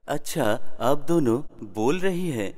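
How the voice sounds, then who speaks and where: A man's voice: fairly high pitch, lively intonation, a little slow, a little mellow. A man playing a clever, cheeky court jester in a cartoon, with a theatrical, assured way of explaining things. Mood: calmly assured. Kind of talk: Hindi cartoon dubbing.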